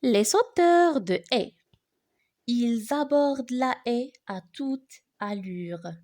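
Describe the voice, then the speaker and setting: A woman reciting a poem for learners in an expressive way, phrasing it deliberately line by line. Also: fairly high pitch.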